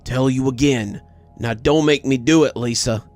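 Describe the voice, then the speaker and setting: A man's voice: mid-range voice, moderate pace, darkish tone, soft background music, close to an everyday voice. A man playing a threatening, domineering father in a horror story, speaking in a demanding, menacing way. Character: threatening, domineering father interrogating his family.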